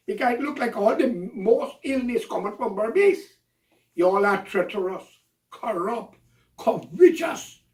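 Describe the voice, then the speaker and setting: A man delivering an impassioned, accusatory monologue, ranting and preaching at his listeners. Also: sits high. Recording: roomy echo, voice from a distance.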